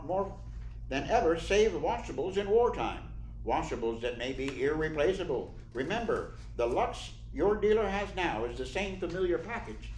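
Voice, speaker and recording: An actor playing a polished 1940s radio announcer, who delivers sponsor pitches and dramatic narration. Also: male voice. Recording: roomy echo, faint noise.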